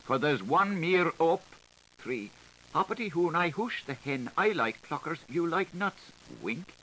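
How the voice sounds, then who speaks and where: A man reading literary prose aloud as an audiobook narrator, in a playful, declamatory, theatrical way with deliberate phrasing, on a 1980s recording. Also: a little high, lively intonation, sharp tone, slight background noise, rough recording.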